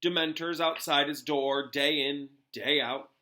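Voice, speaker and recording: A man voicing a pompous, grave middle-aged government minister in a storybook, recounting things in a halting, dramatic way. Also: a little high, steady tone, bright, forceful tone. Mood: grave, unnerved.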